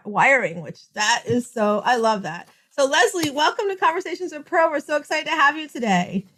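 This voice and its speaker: A woman's voice: high-pitched, a bit bright. A woman hosting a podcast interview, upbeat and effusive as she welcomes her guest. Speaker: podcast host.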